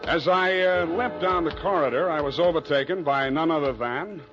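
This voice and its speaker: An actor playing a wry, deadpan hard-boiled American private detective in a 1940s radio drama. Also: male voice, a little high, normal speed, over music, natural voice. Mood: wry narration. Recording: dull, muffled 1940s radio recording.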